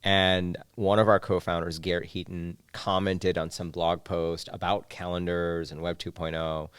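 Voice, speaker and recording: A man in his thirties from Bangladesh, a startup founder telling his story as a podcast guest in a relaxed way. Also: deep voice, normal speed, clear, resonant tone, no room sound, clean studio sound. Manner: relaxed storytelling, chuckles at own stories. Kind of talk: podcast interview. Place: studio couch.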